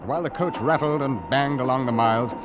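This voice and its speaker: A man's voice: medium pitch, muffled recording, background noise, lightly acted. Methodical police inspector, played by a man, old-time radio. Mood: narrating. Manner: measured, deliberate, logical. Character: methodical, calm male police inspector narrating his case. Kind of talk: 1940s-50s radio drama acting. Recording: vintage radio drama with music behind.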